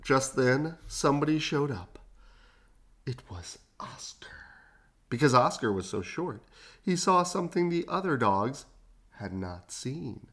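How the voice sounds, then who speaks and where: A woman with a very deep voice reads a picture book to children. Her delivery is unhurried and swings widely in pitch, with dramatic pauses. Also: animated, husky. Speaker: storybook reader. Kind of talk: animated children's read-aloud with casual chat.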